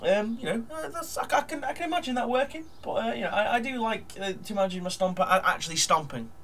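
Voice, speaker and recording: A man's voice: high-pitched, fast talker, a bit bright, slight background noise. A man with a nasal, blocked-up voice from a cold, chatting casually at his desk while narrating a model build, full of ums and uhs. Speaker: hobby model builder vlogger.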